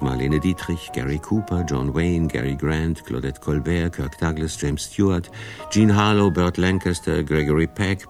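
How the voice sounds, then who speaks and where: A man's voice: sits low, a little mellow. A man, reading scripted narration for a radio documentary.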